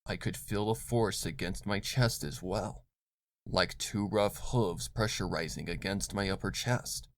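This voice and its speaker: A man reading dramatic scripted horror fiction as narrator, in a solemn, deliberate manner. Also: sits low, dry recording, clean studio sound. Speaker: story narrator. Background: music.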